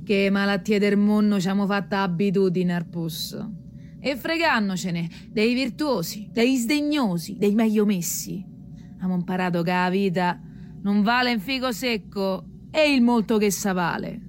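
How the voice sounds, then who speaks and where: A woman's voice: medium pitch, measured pace, slight background noise, lightly acted. A woman playing a proud, tough, world-weary prostitute with a Roman accent, earthy and defiant in radio theatre.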